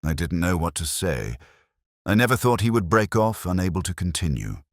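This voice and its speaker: A nervous young clerk in a spoken mystery, put on by a male narrator. He sounds hesitant and apologetic, the voice deep and dry. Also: very animated, moderate pace, dry recording. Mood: anxious.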